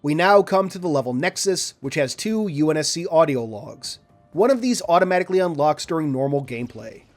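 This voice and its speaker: A man reading a scripted video game walkthrough in a measured, orderly, instructional way. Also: on the high side, lively intonation. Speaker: video game guide narrator. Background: background music.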